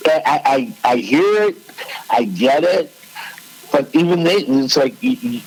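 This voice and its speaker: A man's voice: medium pitch, animated, unhurried, husky, rough recording. A man, co-hosting a casual chat, rambling and laughing often. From Chicago. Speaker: co-host.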